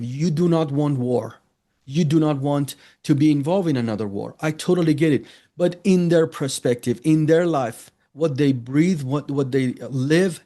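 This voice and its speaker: Earnest Iranian-accented man, fairly high voice. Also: warm tone. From Iran. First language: Farsi. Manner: earnest, frequent fillers and restarts. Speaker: interviewee, ministry leader. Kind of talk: interview answer.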